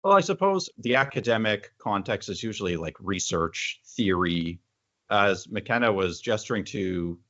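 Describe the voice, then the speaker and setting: A man's voice: sits low, fairly bright tone. A man speaking in a considered, tentative way in a casual panel conversation. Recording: dull lo-fi audio.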